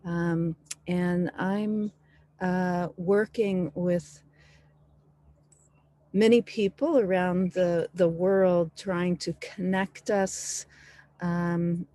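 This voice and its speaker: A woman with a low-ish, flat voice introduces herself on a video call panel. She speaks slowly and in a measured, low-key way. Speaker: foundation staff, panelist.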